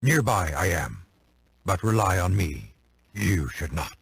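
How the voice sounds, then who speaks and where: A man voicing a small, ancient, wise alien sage in a game, with a gravelly croak, grave manner and inverted, halting phrasing. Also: low voice, sing-song, no echo, exaggerated voice. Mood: solemn counsel. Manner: inverted word order, halting solemn phrasing. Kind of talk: video game cutscene acting. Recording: clearly noisy game audio.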